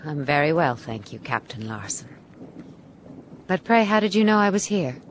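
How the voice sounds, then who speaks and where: An actress playing a brave, tender, well-bred castaway in a radio drama, genteel and earnest. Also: female voice, deep voice, sing-song, moderate pace. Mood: polite, guarded. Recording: sea and boat noise behind.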